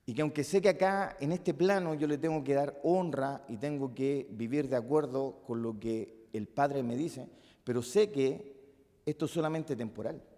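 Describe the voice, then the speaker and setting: Chilean pastor preaching in a reverberant hall. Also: male voice, medium pitch, normal speed. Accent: Chilean Spanish. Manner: impassioned, swings from loud emphatic peaks to soft musing asides. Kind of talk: sermon. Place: church hall. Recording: long reverb of a church hall.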